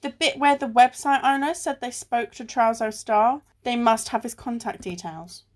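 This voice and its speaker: A woman's voice: a little high, moderate pace, lightly acted. A woman playing a sensible, exasperated amateur sleuth in an amateur radio play, with a dry, sarcastic delivery. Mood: polite, businesslike. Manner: dry, sarcastic, matter-of-fact.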